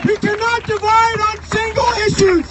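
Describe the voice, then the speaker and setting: High-pitched man shouting a rally speech. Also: level tone, moderate pace. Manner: emphatic, declamatory, shouting to a crowd. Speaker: activist rally speaker. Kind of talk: impassioned political speech. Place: outdoors at a political march. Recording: outdoor live recording with crowd and music.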